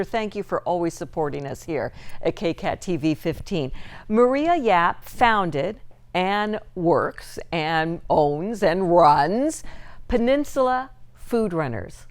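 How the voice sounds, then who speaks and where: A woman's voice: fairly low pitch, animated, unhurried. A woman hosting a community TV show, enthusiastic and a bit teasing with her guest. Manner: enthusiastic, teasing, self-described nosy. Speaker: TV talk show host. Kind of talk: community TV interview. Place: local TV studio.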